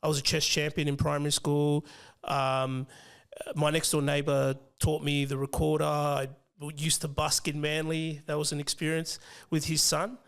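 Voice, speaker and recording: Gravelly Australian man, hesitant chat. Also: a little high, completely flat delivery, normal speed, fairly bright tone. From Western Sydney, Australia. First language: Fijian. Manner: hesitant, many ums and restarts, laughs easily. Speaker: financial planner guest. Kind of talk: casual interview chat.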